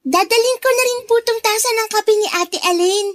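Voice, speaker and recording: Polite little girl in a radio play, sweet n timid, really high voice, dry studio sound. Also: moderate pace, a bit bright, no room sound. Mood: cheerful, eager. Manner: polite, childlike, deferential. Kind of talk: radio drama acting.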